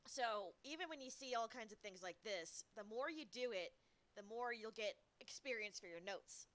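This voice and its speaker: A woman's voice: a little high, lively intonation, normal speed, a bit bright, a little hiss or hum. A woman giving casual, playful playthrough commentary as a game streamer, thinking aloud into a streaming mic.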